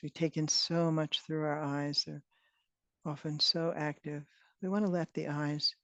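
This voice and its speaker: A woman leading a guided meditation as a teacher, speaking in gentle, unhurried phrases with long pauses. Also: low-pitched, no echo. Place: online retreat session, close dry sound. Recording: dull, band-limited online call audio.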